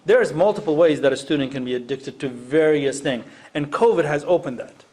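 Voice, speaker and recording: A man's voice: fairly high pitch, fairly quick, darkish tone. A man from Iraq speaking unscripted on a panel, emphatic, repeating phrases and posing rhetorical questions. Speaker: education panelist, former science teacher. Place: live panel event.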